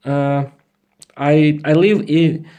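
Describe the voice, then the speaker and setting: A man's voice: on the high side, fairly even intonation, very slow, mellow, clear, resonant tone, muffled recording. A man in his thirties with a Slovak accent, improvising a podcast monologue in hesitant learner English, often repeating and restarting his phrases. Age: 30s. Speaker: podcast host.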